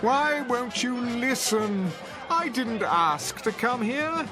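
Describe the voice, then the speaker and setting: An actor playing a bewildered, anguished older man in a radio drama, with a halting, pleading, theatrical delivery. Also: extremely high pitch, expressive, full character voice. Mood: agitated, desperate. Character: anguished, bewildered older gentleman lost among his past. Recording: music and crowd chatter behind.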